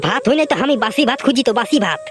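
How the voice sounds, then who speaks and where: A woman's voice: high-pitched, animated, rapid-fire, soft background music. A woman voicing a grumbling, rambling village priest in a comic cartoon, repeating phrases in a Purulia Bengali accent. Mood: exasperated. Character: grumbling, hungry village priest, henpecked husband.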